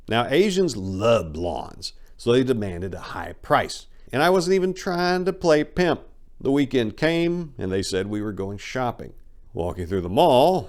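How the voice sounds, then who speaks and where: A man giving a dramatic reading of a first-person memoir in a brash, swaggering storytelling manner. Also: lowish voice. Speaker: narrator of a memoir.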